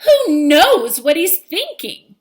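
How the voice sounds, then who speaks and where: A woman's voice: extremely high pitch, very animated, takes it slowly, pitched above the performer's own voice, exaggerated voice. A woman voicing an irritable, suspicious little male fish in an emphatic, accusing manner for a storybook.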